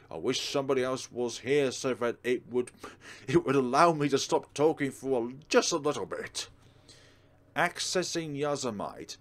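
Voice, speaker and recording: A man hosting a let's play, reading a visual novel aloud expressively and adding casual commentary. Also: on the low side. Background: soft game music.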